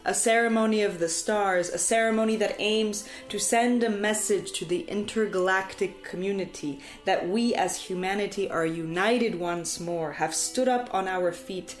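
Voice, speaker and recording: A woman storyteller delivering poetic scripted narration in a solemn, deliberate way, with dramatic phrasing and emphatic repetition. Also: mid-range voice. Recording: echoey, reverberant sound with music behind. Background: music.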